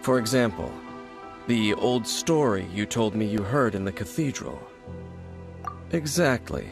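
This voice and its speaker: An actor voicing a composed, secretive scholar who explains things in a measured way, in a video game cutscene. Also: male voice, fairly low pitch, expressive, gravelly. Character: calm, secretive man, a learned scholar. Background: game music.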